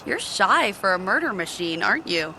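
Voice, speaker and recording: An actress playing a guarded, sarcastic genie bartender in a video game, blunt and casual. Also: female voice, medium pitch, big pitch swings, normal speed, bright, forceful tone, slight background noise. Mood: sassy, blunt. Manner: blunt, dry, sassy. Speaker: video game romance character. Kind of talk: scripted game dialogue, casual.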